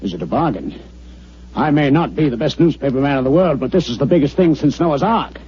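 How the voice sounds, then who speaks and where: Blustering, swooping newspaper boss. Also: male voice, a little high, lively intonation, a little fast, slightly rough. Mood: impressed, giving in. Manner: blustery, stammering when baffled. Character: blustering, indignant male newspaper boss, easily flustered. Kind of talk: 1950s radio drama acting. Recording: muffled, very noisy old radio recording.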